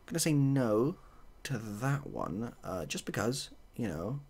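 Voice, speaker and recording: A man playing a pragmatic female penguin in a storybook tale, reasoning in a deadpan, unbothered way. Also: medium pitch, takes it slowly, heavily acted. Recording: clearly audible background noise.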